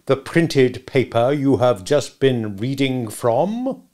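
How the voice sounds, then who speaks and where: A domineering gentleman interrogator from a storybook, acted out by a man, raised and emphatic in pitch, with a gravelly creak. He presses each question with sarcastic, bullying insistence. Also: expressive, unhurried. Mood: sarcastic, insistent. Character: bullying, sarcastic gentleman stranger, cross-examining like a lawyer. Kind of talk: dramatic audiobook character reading.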